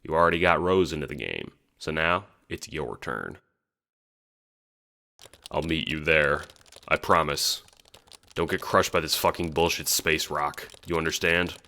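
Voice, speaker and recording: A man's voice: a bass voice, unhurried, vocal fry, long pauses, pushed and bright, full character voice. A man voicing a blunt, earnest male friend in an audio drama, firm and given to swearing. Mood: resolute.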